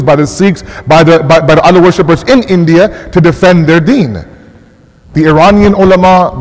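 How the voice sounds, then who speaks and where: A man's voice: high-pitched, a little mellow. A man with an American accent delivering an impassioned sermon, often repeating and restarting phrases as he builds a point. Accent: American English. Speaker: mosque speaker. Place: mosque hall. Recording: long hall reverb.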